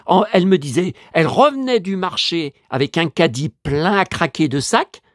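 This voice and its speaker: A man's voice: fairly high pitch, animated, moderate pace, dry recording, studio quality. Rambling, exasperated man with a head cold. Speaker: vlogger and collector. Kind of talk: casual monologue vlog.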